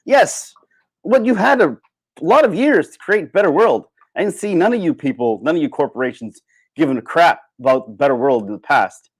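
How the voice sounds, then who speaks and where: A man giving a casual, opinionated livestream monologue in an animated, sardonic way. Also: on the high side, a little fast, slightly rough. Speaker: livestream host.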